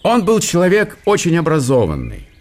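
A man narrating a literary radio drama in an expressive, wry storytelling manner. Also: fairly high pitch, moderate pace.

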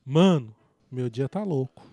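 A man's voice: mid-range voice, expressive, unhurried, dark tone, no echo. A man playing an aggrieved, plaintive detainee under police questioning in a comedic audio drama. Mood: exasperated. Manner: plaintive, protesting.

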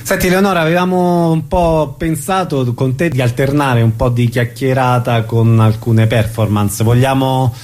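A man's voice: mid-range voice, little pitch movement, moderate pace, few pauses, darkish tone. A man hosting a radio show, putting questions to guests and announcing events.

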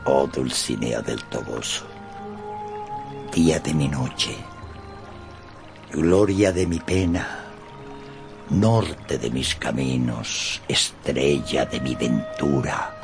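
An actor playing a deluded, love-struck old knight errant with pompous, flowery declamation in a radio drama. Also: male voice, extremely low pitch, expressive, drawn-out words, vocal fry, long pauses, heavily acted. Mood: lovelorn, solemn. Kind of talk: Spanish-language radio drama acting. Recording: studio radio drama with background music.

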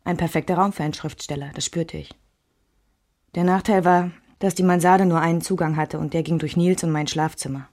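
A woman's voice: deep voice, fairly even intonation, brisk, a little mellow, close to an everyday voice. An actress playing a reflective, restrained woman recalling her married life in a scripted radio drama.

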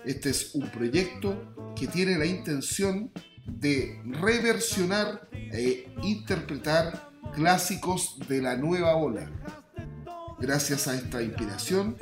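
A man's voice: a little high, lively intonation, takes it slowly. A man with a Chilean accent hosting a radio newsmagazine, reading a prepared script. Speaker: radio host. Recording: roomy voice over music. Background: music with plucked guitar.

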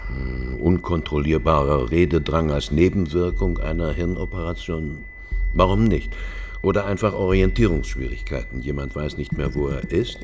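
An actor playing a calm, fatherly older doctor in a German radio drama, speaking in a deliberate, reassuring way. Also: rumbling low, a little hiss or hum. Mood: thoughtful.

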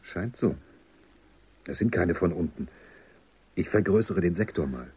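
Husky, defiant low-voiced rebel. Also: male voice, expressive, unhurried, darkish tone, full character voice. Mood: hushed, intent. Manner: dry, ironic, insistent. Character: defiant, dryly ironic dismissed male thinker in a dystopia. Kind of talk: German radio drama acting.

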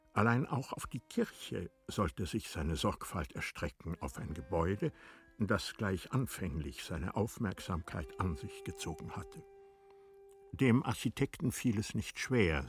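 A man reads literary narration for a radio play in a measured, formal way. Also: fairly low pitch.

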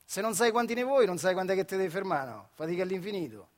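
A plain-spoken factory worker in a radio theatre monologue. His high voice carries a central Italian accent as he tells his story in animated, colloquial turns, proud and stubborn, tossing in rhetorical questions. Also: fast. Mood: proud, reflective. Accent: central Italian dialect. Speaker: actor-narrator.

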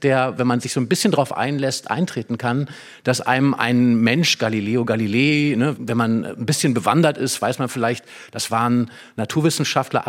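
A man speaking as an expert guest in a stage conversation, explaining reflectively and choosing phrases carefully with pauses. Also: mid-range voice. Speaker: expert guest, dramaturg and writer. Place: hall with a live audience.

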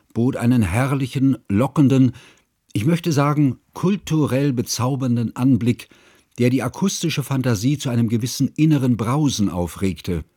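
An actor playing a bookish, self-conscious scholar who recalls his youth in measured, ornate literary narration for a radio play. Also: mid-range voice, a little mellow, breathy edge, natural voice. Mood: admiring. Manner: measured, formal, ornate phrasing. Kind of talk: literary first-person narration, German radio drama.

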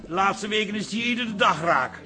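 An actor playing a grumbling, fretful middle-aged pub landlord in a Dutch radio drama, exasperated and full of old-fashioned exclamations. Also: male voice, high-pitched, lively intonation, quick pace, over music. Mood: indignant.

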